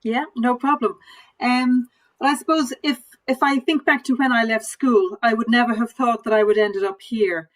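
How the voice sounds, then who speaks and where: A woman's voice: on the high side, steady tone, normal speed, rough recording. A woman speaking on a panel over a video call from an office, candid and plain-spoken. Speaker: head of employer relations. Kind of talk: panel answer.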